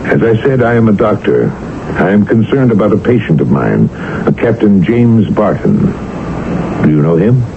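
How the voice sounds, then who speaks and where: An actor playing a measured, inquisitive doctor who narrates his own mystery in a 1970s radio drama, with calm, formal diction. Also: lowish voice, creaky, background noise. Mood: probing. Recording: narrow lo-fi old radio broadcast.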